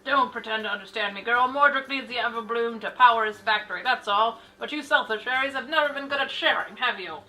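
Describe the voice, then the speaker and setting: An actress playing a cruel, mocking undead villainess in a fantasy game, taunting and haughty. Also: female voice, on the high side, fast, hardly any pauses, pushed and bright, faint music behind, rough recording. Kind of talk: scripted fantasy game dialogue.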